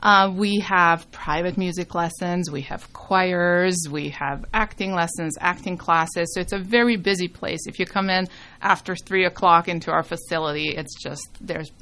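A woman's voice: fairly low pitch, normal speed, sharp tone. A middle-aged woman born in Serbia, a music school founder chatting as a radio guest, with many ums. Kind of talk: casual radio interview.

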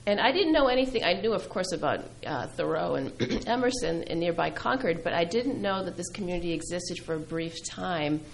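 Low gravelly woman poet, halting speech. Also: expressive, slight background noise, poor audio. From New England. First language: Greek. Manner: thoughtful, many ums and uhs, pauses. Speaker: poet and filmmaker guest. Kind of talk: casual interview answers.

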